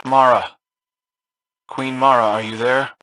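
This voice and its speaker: A man voicing an earnest, wry young hero with a husky voice in a video game. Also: medium pitch, little pitch movement, a little slow, pushed and bright, no echo, low-quality recording.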